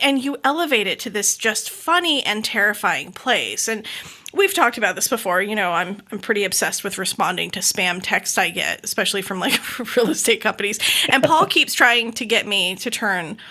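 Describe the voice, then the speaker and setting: A woman's voice: mid-range voice, lively intonation, pushed and bright. An American woman hosting a podcast, enthusiastic and effusive, moving between scripted introductions and casual interview chat. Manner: enthusiastic, effusive, quick to laugh. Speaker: podcast host.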